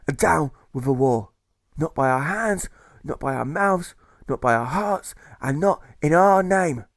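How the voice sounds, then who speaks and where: A fiery anti-war orator acted out by a male audiobook reader, pitched high and declaiming loudly. He rallies working people with rousing, indignant force, in a dry recording. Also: animated, unhurried, no echo. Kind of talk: political rally speech in a rhyming audiobook.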